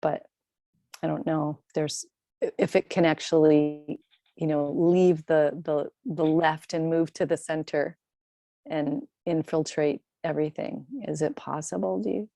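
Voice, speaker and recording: A woman with a low, level voice poses a long question to her class. She rambles and gropes for her words. The tone is mellow, and the sound is dry and close. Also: steady tone, measured pace, gravelly, dry recording. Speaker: adult class participant. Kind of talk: group discussion, asking a question.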